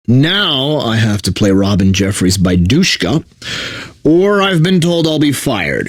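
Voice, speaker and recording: A man's voice: on the high side, no room sound, studio quality. An actor playing a bitter, sardonic video game radio DJ who resents his corporate bosses, mixing station patter with theatrical despair. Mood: bitter exasperation.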